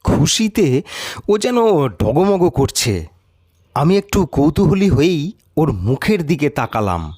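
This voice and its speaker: Audiobook narrator: man, deliberate first-person storytelling, highly animated melody, dry close sound. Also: husky, dry recording.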